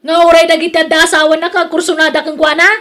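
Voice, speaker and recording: High-pitched nagging Ilocano wife played by a woman. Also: level tone, very fast, a bit bright. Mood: scolding, complaining. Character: nagging, jealous wife, hardworking and exasperated. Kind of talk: radio drama acting.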